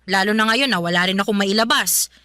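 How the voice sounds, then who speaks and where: A woman's voice: medium pitch, a little fast, sharp tone, no echo, studio quality, close to an everyday voice. A woman acting a weary, polite young daughter who protests and pleads, in a Filipino radio drama with a Filipino accent. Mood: aggrieved protest. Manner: respectful but protesting, pleading.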